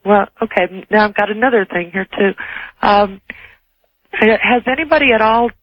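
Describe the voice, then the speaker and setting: A woman's voice: medium pitch, moderate pace, no echo. A woman calling a radio show, casually putting questions to the host over a telephone line. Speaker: phone-in caller.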